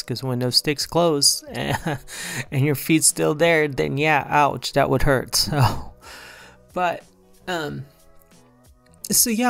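Man, 40s, from the Philippines, narrates own art process, gravelly tone, loose and rambling, soft music under it. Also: on the high side. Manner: relaxed, rambling, many fillers. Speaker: digital artist. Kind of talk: narrated painting timelapse.

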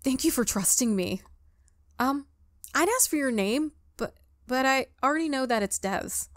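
A woman's voice: high-pitched, lively intonation, normal speed, no echo, clean studio sound, pitched above the performer's own voice. A woman voicing a shy, awkward, friendly college ghost in a visual novel, nervous, hesitant and stammering. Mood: awkward, earnest.

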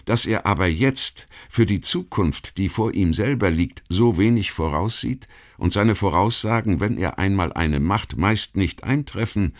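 A man with a very low-pitched voice narrates a documentary audiobook. He reads from a script in a measured, formal manner. The delivery is that of a thoughtful essay read aloud. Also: lively intonation.

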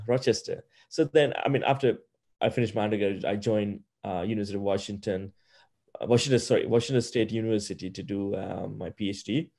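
Soft-toned man explaining with many fillers. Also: on the low side, gravelly. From Sri Lanka. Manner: hesitant, many fillers and restarts. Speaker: physics professor, guest. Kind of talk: interview over video call. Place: wooden-walled room at home. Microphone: video call.

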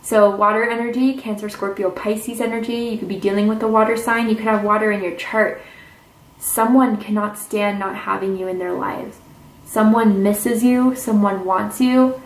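A woman gives a casual, chatty tarot reading at her table, musing aloud with soft "ooh"s and "mm"s. Her voice sits in a middling range and is picked up from a little distance. The room is echoey, with a faint hiss underneath. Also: medium pitch, steady tone. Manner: chatty, intuitive, drawn-out "ooh"s and "mm"s. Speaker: tarot reader. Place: indoors at a tarot table with crystals and candles. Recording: roomy, distant camera mic with faint hiss.

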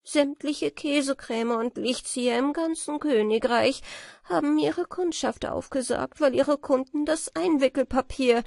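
Woman as a woeful, high-voiced ruined writer. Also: darkish tone, dry recording, studio quality. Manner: woeful, subdued complaining. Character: dejected, ruined male hack writer.

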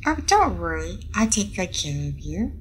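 A woman's voice: on the low side, big pitch swings, moderate pace, slight background noise. A woman playing a friendly, curious alien starship captain in an audio roleplay, soothing and a little hesitant as she addresses the listener. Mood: gentle, playful.

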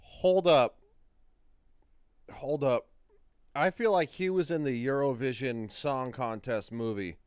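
Man with a clear voice, reacting casually. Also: mid-range voice, slow, long pauses, no room sound, faint music behind. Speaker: reaction video host. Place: small room at home, indoors. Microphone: close studio mic on a desk, with headphones.

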